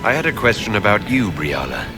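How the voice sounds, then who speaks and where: A man's voice: on the low side, sing-song, a little fast, music in the background, rough recording. An actor playing a direct, formal, questioning male hero in a fantasy video game. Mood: inquiring. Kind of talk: video game character dialogue.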